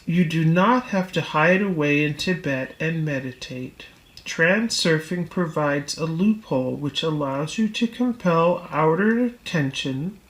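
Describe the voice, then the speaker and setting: Slow, deliberate woman reading aloud. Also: sits low, animated, rough recording. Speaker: audiobook reader. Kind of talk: scripted audiobook narration of a self-help book.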